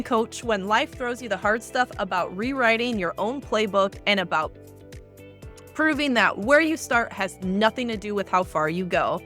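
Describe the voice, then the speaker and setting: A woman's voice: a little high, pushed and bright. A woman hosting a podcast for executives, delivering an upbeat, emphatic introduction and then talking with her guest. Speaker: podcast host. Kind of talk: scripted podcast intro and interview.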